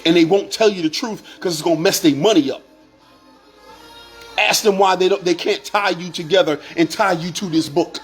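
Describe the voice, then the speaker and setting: A man's voice: sits high, moderate pace, soft background music. Fired-up man preaching to viewers. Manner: preachy, repetitive, rising to shouts. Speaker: online commentator. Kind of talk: impassioned monologue to viewers.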